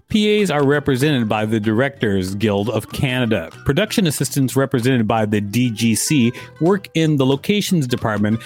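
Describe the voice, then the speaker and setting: A man reading an article aloud as a host, with casual asides. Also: medium pitch.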